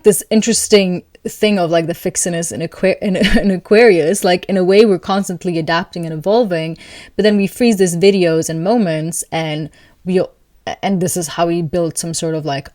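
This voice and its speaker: A woman hosting an astrology video, talking calmly and thoughtfully to camera, with soft laughs between thoughts. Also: on the low side, no echo, studio quality. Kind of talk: casual spoken reflection to camera.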